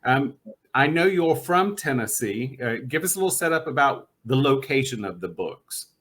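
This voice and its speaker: A man's voice: medium pitch, sing-song, normal speed, a bit bright. A man hosting a podcast interview, chatty and enthusiastic, laughing often as he talks books with a guest. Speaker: podcast host. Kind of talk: casual interview chat.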